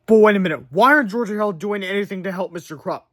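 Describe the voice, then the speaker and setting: A man's voice: high voice, very fast, fairly bright tone. A man narrating a storybook aloud in a dramatic way, with casual asides.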